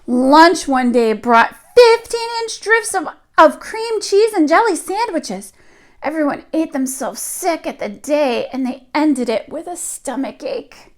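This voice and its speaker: A woman reading aloud to young children in an expressive storytelling style, with dramatic emphasis. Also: sits high. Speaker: children's story reader, teacher.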